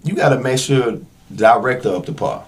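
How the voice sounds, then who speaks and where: A man's voice: medium pitch, a little fast. A man speaking as a podcast guest in casual, slangy conversation, candid and emphatic about his work as an actor. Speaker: interview guest, actor and independent filmmaker.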